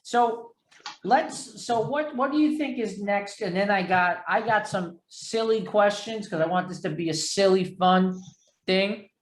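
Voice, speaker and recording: A man's voice: high-pitched, unhurried, slight background noise. A man with a New York area accent hosting a casual interview, chatty and rambling, often poking fun at himself. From New York or New Jersey area. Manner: chatty, rambling, self-deprecating. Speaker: interview host. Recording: echoey room sound.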